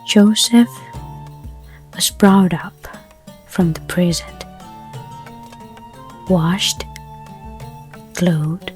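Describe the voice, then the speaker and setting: Very slow, hushed woman telling a bedtime story. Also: lowish voice. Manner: gentle, soothing, hushed, unhurried phrasing. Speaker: narrator. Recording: soft music under the voice. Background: background music.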